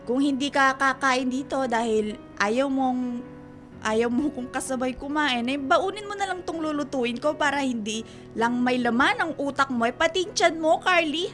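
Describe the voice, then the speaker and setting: Casting: doting, nagging Filipino mom in her middle years, high-pitched, radio drama with music underneath. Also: moderate pace. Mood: worried, scolding. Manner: fussing, nagging, expressive. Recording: music behind the voice.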